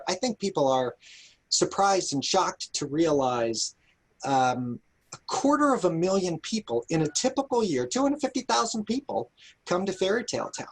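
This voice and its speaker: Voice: medium pitch, big pitch swings, normal speed, slightly rough, rough recording. An interview guest speaking earnestly and emphatically, giving thoughtful answers in carefully weighed phrases. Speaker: director of a children's park, interviewed guest.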